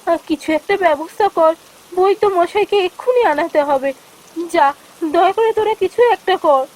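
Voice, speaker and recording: An anxious village woman from a cartoon fairy tale, voiced by a woman, with an extremely high voice. She is earnest and pleading in her manner. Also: expressive, normal speed, rough recording. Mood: distressed, pleading.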